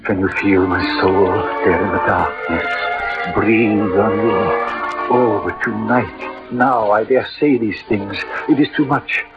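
An actor playing an eloquent, lovelorn poet-soldier with ardent, lyrical delivery in old radio drama style. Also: male voice, lowish voice, fairly even intonation, takes it slowly, loud music behind the voice. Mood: rapturous, tender. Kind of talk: 1940s-50s radio drama acting. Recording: dull old radio recording.

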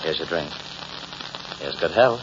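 A man acting a suave, pompous gentleman in a vintage radio drama, with formal, flowery and courtly speech. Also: rumbling low, animated, unhurried. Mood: gallant, charming. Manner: formal, flowery, over-polite diction. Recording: muffled, hissy old radio recording.

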